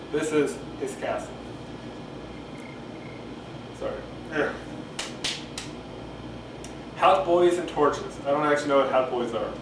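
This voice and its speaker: A man doing a casual cold reading of a play, halting, repeating phrases and musing aloud between lines, recorded on a camcorder. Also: on the high side. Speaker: amateur reader. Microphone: camcorder at a distance. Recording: roomy, distant camcorder mic, loud noise.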